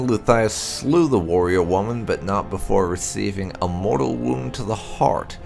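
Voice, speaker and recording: Very low male voice, creaky, measured storybook narration, solemn delivery, faint music behind. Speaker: gaming channel host. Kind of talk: reading game lore aloud, storytelling narration.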